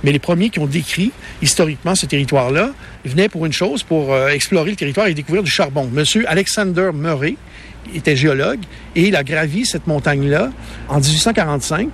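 A man from Gaspésie with a Québécois accent, explaining a national park in a relaxed interview outdoors. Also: fairly high pitch, quick pace, slight background noise. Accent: Québécois French. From Gaspésie, Quebec. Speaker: park guide.

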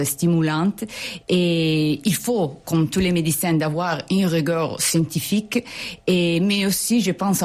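A woman in her forties from Italy, a physician with an Italian accent, answering interview questions in French and often pausing to search for words. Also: fairly low pitch, darkish tone. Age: 40s. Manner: hesitant, searching for French words with many fillers.

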